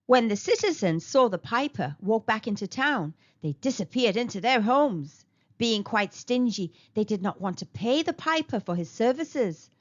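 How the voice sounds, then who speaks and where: A woman reading a children's story aloud in an expressive storytelling manner. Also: fairly high pitch, normal speed. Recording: dull lo-fi recording.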